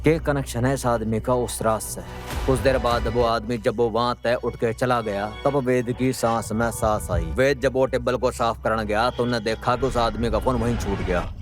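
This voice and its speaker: A man with a Haryanvi accent narrates an audio drama series. His voice sits at a middle pitch and tells the scripted story dramatically, with music playing clearly behind him. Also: steady tone, moderate pace. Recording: music under the voice. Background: background music.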